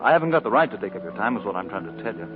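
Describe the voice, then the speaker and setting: Proud young out-of-work organizer, blunt American talk, 1940s radio drama, thin tinny broadcast over music. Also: male voice, lowish voice, sing-song, racing. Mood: proud, pained. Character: proud, discouraged young jobless labor organizer.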